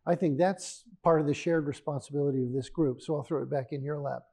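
Older man, mellow voice, tag questions. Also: a little high, fairly even intonation, fast, gravelly. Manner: ends points with a tag "right?". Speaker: keynote speaker, professor. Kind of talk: answering audience questions. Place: large lecture hall, standing at front.

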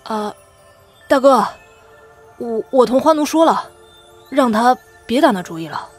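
A woman playing a timid, deferential boy prince with a husky voice in a historical audio drama. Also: a little high, animated, unhurried, soft background music. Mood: anxious.

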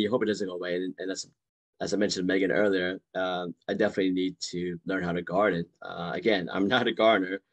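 A man in his forties, born in Vietnam, answering audience questions on a video call from a room at home, hesitant with many fillers and restarts. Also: low-pitched. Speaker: guest speaker. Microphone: video call webcam.

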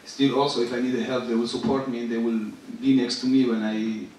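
Halting young man in a roomy hall. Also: mid-range voice, flat delivery, darkish tone, distant mic. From Syria. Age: 20s. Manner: halting, searching for words, restarts. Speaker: rapper and guest artist. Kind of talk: stage talk. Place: large theater stage with live audience. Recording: echoey stage, picked up from afar.

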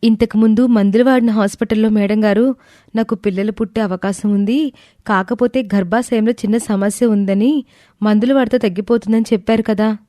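A woman's voice: medium pitch, steady tone, a little fast, warm tone, no room sound, studio quality, close to an everyday voice. A woman playing a blunt, matter-of-fact female gynecologist in an audio story. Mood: firm, blunt.

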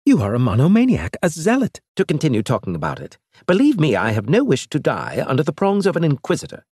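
A man voicing a cunning, fussy alien male trader, raising his voice high and indignant. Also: wildly swooping pitch, quick pace, darkish tone, husky, dry recording, studio quality, full character voice.

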